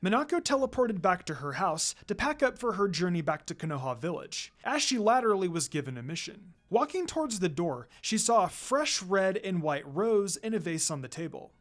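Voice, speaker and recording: A man's voice: high voice, big pitch swings, moderate pace, few pauses. A man reading fan fiction aloud as a dramatic story narrator.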